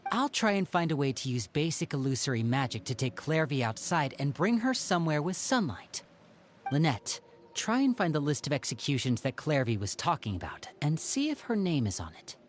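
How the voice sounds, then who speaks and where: A man's voice: on the high side, a little hiss or hum. A man voice acting a theatrical young magician and protective elder brother in a video game, speaking in a coaxing way. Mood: decisive.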